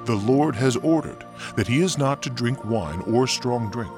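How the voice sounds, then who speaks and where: An actor playing a solemn male angel in a Bible audio drama, speaking with measured authority. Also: mid-range voice, expressive, over music, close to an everyday voice. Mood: solemn, proclaiming.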